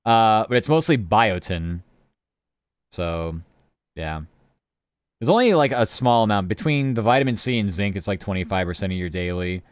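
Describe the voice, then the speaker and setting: A man with a low, smooth voice chats casually on a live stream. He rambles between topics, answers his chat and swears now and then. The sound is dry and close. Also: lively intonation, normal speed, long pauses, dry recording.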